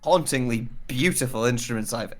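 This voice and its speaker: A man's voice: mid-range voice, moderate pace, a crowd in the background. A man chatting casually as a guest on a livestream, a voice actor who rambles enthusiastically and pokes fun at himself. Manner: enthusiastic, self-deprecating, rambling with many asides.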